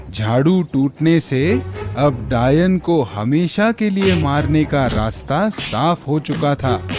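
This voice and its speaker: A man narrates a children's fairy tale from a script. His voice is a little high and he reads slowly, with faint music underneath.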